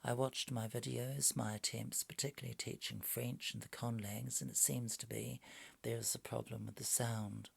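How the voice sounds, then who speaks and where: A quiet, soft-spoken man muttering to himself at home, grumbling mildly and trailing into whispers. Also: medium pitch, little pitch movement, normal speed, gravelly, breathy edge, dry recording. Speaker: language video maker. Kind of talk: casual talking to himself while working. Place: home at night. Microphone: phone.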